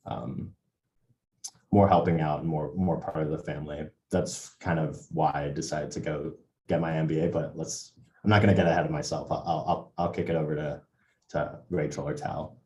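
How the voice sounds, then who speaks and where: A man with a very low, warm voice speaks on a video-call panel. He stumbles and restarts often. The sound is roomy and echoey. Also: steady tone, moderate pace. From England. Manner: hesitant, many restarts and fillers. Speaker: MBA panelist. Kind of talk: casual panel discussion. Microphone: computer mic on a video call.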